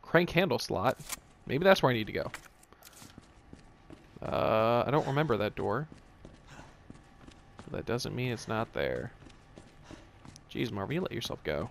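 Slow, gravelly man muttering over a game. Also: medium pitch, big pitch swings, a little hiss or hum. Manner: wry, joking, swearing. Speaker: video game streamer. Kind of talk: casual let's play commentary, muttering to himself.

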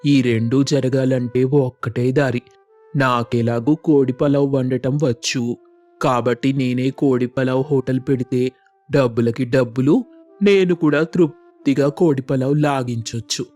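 Male actor as stubborn, food-obsessed rustic husband; mellow, level delivery, colloquial grumbling, storybook drama with music underneath. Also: mid-range voice, fairly even intonation, unhurried, lightly acted. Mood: determined. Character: food-obsessed, stubborn village husband craving chicken pulao. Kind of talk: Telugu moral story voice acting.

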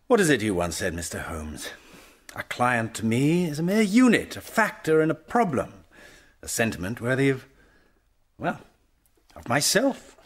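An actor playing an urbane, cunning criminal in a radio drama, with a suave, mocking, theatrical manner. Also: male voice, mid-range voice, huge pitch swings, moderate pace, long pauses, breathy edge. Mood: self-satisfied. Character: urbane, smug, cunning criminal mastermind, a man.

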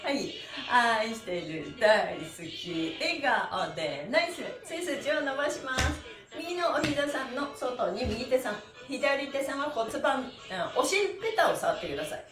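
A woman's voice: sits high, very animated, measured pace. A middle-aged woman cheerfully leading a stretching lesson, calling out encouragement and rhythmic chanted counts as she moves. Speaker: stretch exercise instructor and host. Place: small bare-walled room indoors. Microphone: camera a few metres away. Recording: roomy echo, distant mic, music.

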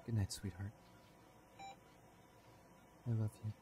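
A man playing a tender, remorseful young boyfriend in an ASMR audio roleplay, speaking softly and haltingly with emotion. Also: lowish voice, little pitch movement, background noise. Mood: tender, hushed. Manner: halting, soft-spoken, emotional delivery with pauses. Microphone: close studio condenser microphone.

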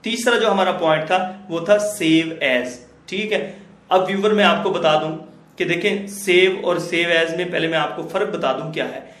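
A man walks viewers through a software tutorial, step by step. His voice sits a touch higher than usual for a man, and he keeps checking that his audience is following. The recording has a noticeable echo from the room around the desktop mic. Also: moderate pace. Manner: steady explaining, often checks in with listeners. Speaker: computer tutor. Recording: echoey room.

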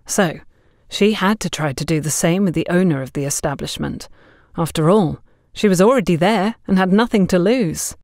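A woman's voice: on the low side, normal speed, a little mellow, dry recording, studio quality. A woman reading a scripted story as an audiobook narrator.

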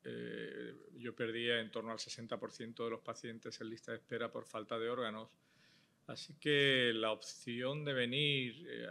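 A man, a transplant surgeon recounting his story at a panel, measured and thoughtful, pausing often on "eh". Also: medium pitch, vocal fry, sharp tone. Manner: measured, thoughtful, with frequent "eh" pauses. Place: indoor panel stage before a logo backdrop. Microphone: table microphone on a stand.

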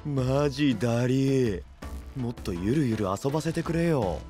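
An actor playing a lazy, sullen, slangy young warrior in an anime voice drama. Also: male voice, medium pitch, unhurried, mellow, faint music behind, natural voice. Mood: grumbling. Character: lazy, grumbling young delinquent-style warrior.

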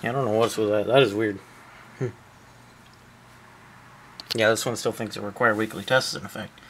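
A man giving casual, off-the-cuff commentary, thinking aloud in a halting, puzzled way. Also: fairly low pitch, fairly even intonation. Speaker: vlogger, weather radio hobbyist. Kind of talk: casual off-the-cuff commentary while demonstrating gadgets. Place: small room indoors. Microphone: handheld camera microphone. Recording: very noisy camera audio, radio static.

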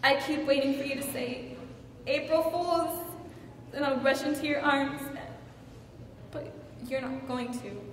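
A woman acting a wry, desperate wife refusing divorce, in a halting, theatrical dramatic monologue. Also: high-pitched, natural voice. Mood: hurt, confessing. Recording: echoing hall from far off, faint noise.

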